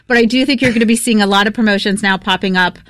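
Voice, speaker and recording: A woman's voice: medium pitch, very fast, fairly bright tone, dry recording. Bubbly chatty woman radio co-host. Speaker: radio food show co-host. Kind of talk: casual radio chat. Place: radio studio.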